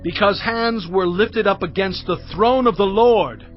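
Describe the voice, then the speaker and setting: A man's voice: sits high, a little slow, highs cut off. An actor playing an earnest, burdened male leader of his people in a dramatized Bible, declaiming with emphasis. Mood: resolute. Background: music.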